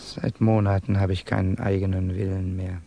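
An actor playing a guilt-tormented, brooding young Russian man with a gravelly, husky voice in a 1960s German radio drama. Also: sits low, flat delivery, warm tone. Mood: strained, weary. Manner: brooding, halting, intense.

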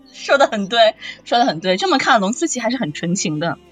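A woman's voice: a little high, big pitch swings, normal speed, breathy edge. A woman voice actor in a casual chat, chatty and acting out imagined lines as she talks. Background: faint background music.

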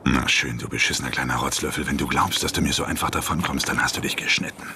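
Very deep-voiced menacing hitman. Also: quick pace, background noise. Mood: vicious, threatening. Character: menacing male hitman, vengeful, crude, slick when lying. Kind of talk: German radio drama acting.

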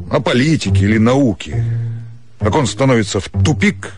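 A man reads literary prose for radio in an expressive, dramatic style. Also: fairly low pitch. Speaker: actor reading a short story.

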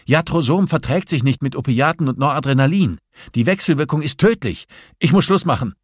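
A man acts out a forceful male doctor in an audiobook thriller, pressing with clipped commands and questions. The voice is raised and husky, urgent and impatient, in a very dry recording. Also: on the high side, expressive, fairly quick, no echo, voice pushed up. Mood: urgent, commanding. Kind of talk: audiobook dialogue acting.

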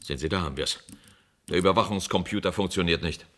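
Imperious, low-voiced, distrustful official. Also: male voice, quick pace, fairly bright tone, slight background noise. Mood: irritated, threatening. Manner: curt, commanding, suspicious. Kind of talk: German radio play acting.

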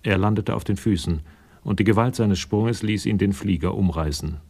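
A man with a gravelly voice reading scripted literary narration for a German radio crime drama. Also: lowish voice, moderate pace, a little mellow.

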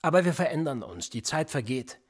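Radio drama: sardonic middle-aged police interrogator, husky, highly animated delivery, pressing and needling. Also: male voice, brisk. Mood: persuasive, reflective. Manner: pressing, needling, rhetorical questioning. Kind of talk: German radio play acting.

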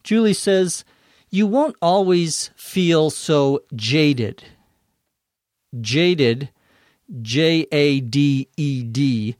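A man teaching English vocabulary, with a voice a little higher than most men's. He speaks very slowly and patiently, spelling words out letter by letter with a mild playful streak. The sound is dry and close-miked. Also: a little mellow, no room sound. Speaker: English teacher and podcast host. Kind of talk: slow, explanatory vocabulary lesson.

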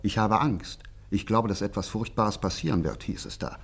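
A man's voice: lowish voice, normal speed, creaky, slightly rough. A man acting an eager, gossipy small-town local in a German radio crime play, talkative and emphatic. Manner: talkative, emphatic, indignant asides. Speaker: actor in a radio crime drama.

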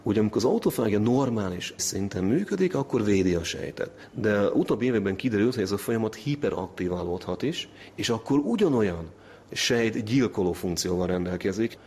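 Gravelly, low-voiced man explaining research. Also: animated, darkish tone, slight background noise. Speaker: scientist interviewee.

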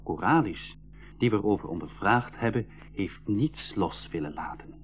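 A man's voice: lowish voice, animated, moderate pace, natural voice. A man with a Flemish accent playing a cautious, sensible eighteen-year-old brother in a 1980s school radio play, acting and narrating theatrically. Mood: explanatory narration. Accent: Belgian Dutch (Flemish). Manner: theatrical, reasoning, storytelling delivery. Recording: dull lo-fi 1980s radio recording.

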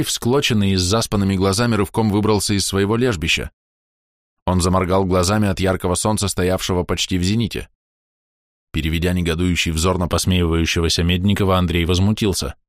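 Low-pitched man narrating an audiobook. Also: fairly quick, no echo, clean studio sound. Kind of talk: scripted literary narration.

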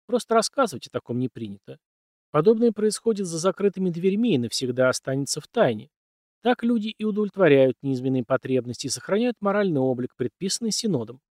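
A man's voice: fairly high pitch, very animated, quick pace, a little mellow, no room sound, studio quality, voice pushed up. A man voicing a condescending, shrewd young noblewoman's secretary in an audiobook, with a cool matter-of-fact manner. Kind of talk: audiobook character dialogue.

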